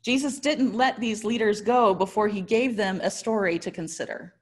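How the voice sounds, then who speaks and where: An American woman in her thirties giving a prepared but conversational sermon as a pastor, with expressive, articulate storytelling. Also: medium pitch, moderate pace. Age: 30s. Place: small indoor room.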